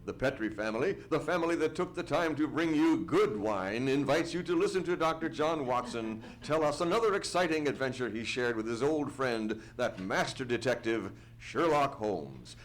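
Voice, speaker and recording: A man plays an easygoing announcer from a 1940s radio show. He has a medium-pitched voice and chats in folksy, genial sales patter. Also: close to an everyday voice.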